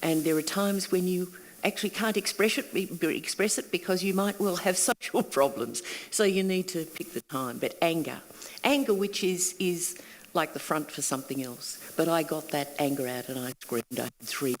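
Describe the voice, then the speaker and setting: A woman's voice: fairly low pitch, normal speed, big-room sound, poor audio. An older Australian woman speaking at a panel event, earnest and reflective, dropping at times to a near whisper. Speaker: panel guest, workshop leader. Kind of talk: candid panel talk. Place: event hall.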